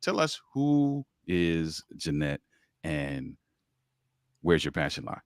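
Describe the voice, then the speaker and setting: A man's voice: a bass voice, very animated, takes it slowly, dry recording, clean studio sound. A man hosting a livestreamed podcast, upbeat and encouraging, talking with a preacher's lift as he greets commenters and his guest. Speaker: podcast host.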